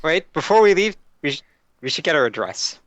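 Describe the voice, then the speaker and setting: Lively, higher-pitched man bantering at a game. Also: a little fast, no echo. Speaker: tabletop roleplaying player.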